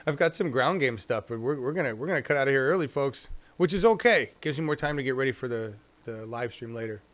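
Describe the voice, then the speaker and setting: A man hosting a live-stream talk show, rambling casually and thinking out loud as he goes. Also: mid-range voice, lively intonation, brisk. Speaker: show host.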